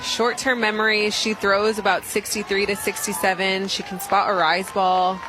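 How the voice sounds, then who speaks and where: A woman giving conversational color commentary as an analyst on a softball broadcast. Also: medium pitch, level tone, moderate pace. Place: outdoor softball ballpark broadcast position. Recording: crowd noise and music behind broadcast. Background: ballpark crowd and music.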